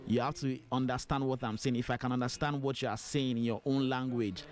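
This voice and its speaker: Fast-talking Nigerian radio host man. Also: medium pitch. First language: Yoruba. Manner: fast, animated, with frequent fillers. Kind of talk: radio interview.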